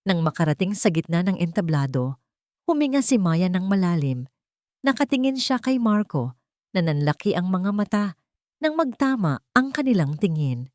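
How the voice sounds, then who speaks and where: Filipino lady storyteller, lowish voice, dramatic scripted narration, really dry recording. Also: big pitch swings, moderate pace, a little mellow, dry recording.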